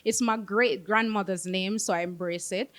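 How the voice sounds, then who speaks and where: Young jamaican woman chatting in an interview, animated, drops into patois now and then. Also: mid-range voice, moderate pace. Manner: lively, laughs often, slips into patois. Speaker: guest singer. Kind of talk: interview conversation.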